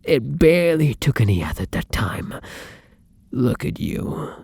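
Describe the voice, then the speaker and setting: A man playing a charming, bloodthirsty vampire lover in an intimate audio roleplay, with a breathy, husky voice that often drops to a whisper and courtly, theatrical endearments. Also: medium pitch, big pitch swings, unhurried, a little mellow, no room sound, clean studio sound, full character voice. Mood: menacing, hungry.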